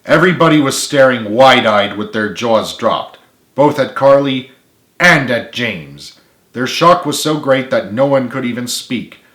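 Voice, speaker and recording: A man narrates a dramatic story as its author, reading aloud. His voice sits at a medium pitch. The room around him rings with noticeable echo. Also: expressive, a little slow, a bit bright. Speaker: author reading own book. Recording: echoey room.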